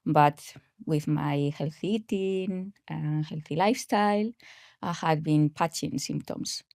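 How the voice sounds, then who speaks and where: Woman, Spanish accent, low pitch, hesitant with fillers, dry close sound. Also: takes it slowly, gravelly, a little mellow, no echo. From grew up in Spain. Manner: hesitant, many fillers and restarts. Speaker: podcast guest, health coach. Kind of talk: casual interview talk.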